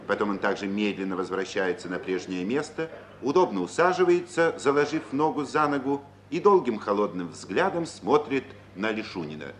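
Low-voiced male radio play narrator. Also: expressive. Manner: measured, literary storytelling. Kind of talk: scripted narration of stage directions in a radio play. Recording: old radio recording with faint hiss.

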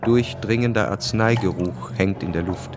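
A man narrating a radio drama, measured and literary in his storytelling. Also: lowish voice, music in the background.

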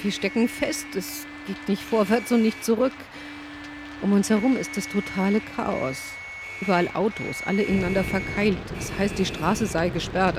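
A woman's voice: medium pitch, natural voice. A woman playing a fearful, despairing field reporter trapped in chaos, in a German radio play. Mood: frightened. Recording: noisy field report with vehicle ambience.

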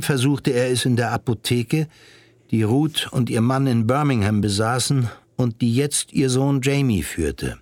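A man's voice: medium pitch, darkish tone, no room sound. Dry, close male drama narrator. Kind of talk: scripted audio drama narration.

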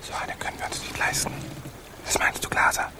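An actor playing a suspicious pirate officer in a radio drama, speaking in a dry mutter. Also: male voice, a little fast, whispered, lightly acted. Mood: scheming. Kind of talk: German radio drama acting.

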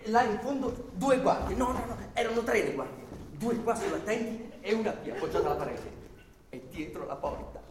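An actor playing an anxious, over-polite bank clerk on trial in an Italian radio drama, agitated, insistent and deferential. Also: male voice, high-pitched, a little fast, noisy background, lightly acted. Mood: excited, explaining. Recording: roomy, distant stage sound with music.

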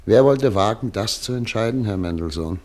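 A man playing a weary older exiled doctor in a radio play, speaking with quiet, deep resolve. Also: lively intonation, moderate pace, natural voice. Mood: resolute. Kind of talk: 1950s radio play acting.

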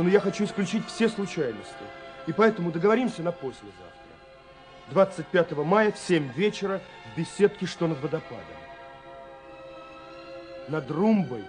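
Man acting young lover, fairly high voice, earnest, decisive, radio play, faint music. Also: a little mellow, natural voice. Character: eager young man in love, writing urgently. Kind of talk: radio drama letter reading.

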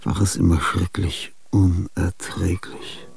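Ein Schauspieler spricht in einem Hörspiel die grüblerische, müde Innenstimme eines älteren Künstlers, ruhig und gemessen. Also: male voice, deep voice, level tone, slow pace, creaky, over loud music, full character voice. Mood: brooding. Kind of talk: radio drama acting.

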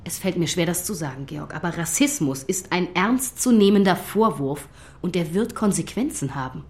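An actress as a firm, concerned schoolteacher. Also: female voice, lowish voice, animated, normal speed, a little mellow, natural voice. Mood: reluctant, official. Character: concerned, firm schoolteacher, patient but official, a grown woman. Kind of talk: radio drama acting.

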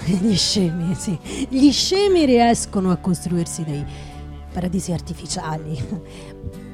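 A woman playing a world-weary, intense male writer in a theatrical radio reading, halting and repeating phrases. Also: fairly low pitch, animated, a little mellow, faint music behind. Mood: wry, weary. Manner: halting dramatic delivery with repeated phrases and pauses. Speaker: stage actress performing a literary monologue.

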